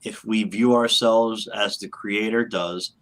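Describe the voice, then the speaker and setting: A man's voice: lowish voice, fairly even intonation, slow. A man co-hosting a casual radio talk show, agreeable and supportive with the guests.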